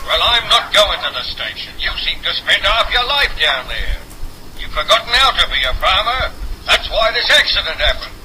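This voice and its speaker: An actor playing a grumbling middle-aged Australian farmer father in a 1930s radio serial, with a gruff, scolding rustic manner. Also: high-pitched, very animated, normal speed, bright, forceful tone, heavily acted. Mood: exasperated, scolding. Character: grumbling, hard-working older farmer father, exasperated with son. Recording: noisy 1930s radio recording.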